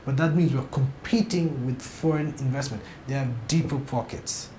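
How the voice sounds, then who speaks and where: A man's voice: mid-range voice, normal speed, dark tone, roomy sound, slight background noise. A man from Kenya, a TV series director, giving frank, emphatic opinions in a sit-down interview.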